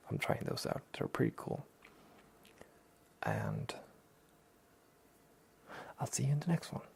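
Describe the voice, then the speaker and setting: A soft-spoken man with a husky, very raspy voice, drifting into a breathy half-whisper. He talks slowly and lets his pitch wander up and down as he rambles. A heavy gravelly fry sits under most of his words. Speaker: anime review host. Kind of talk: soft-spoken relaxing talk.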